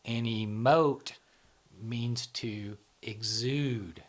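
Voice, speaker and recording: A man's voice: mid-range voice, drawn-out words. Middle-aged man who keeps saying "right?". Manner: rambling, fills with "right?" and "you know". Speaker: show founder and host. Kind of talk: casual explanatory conversation.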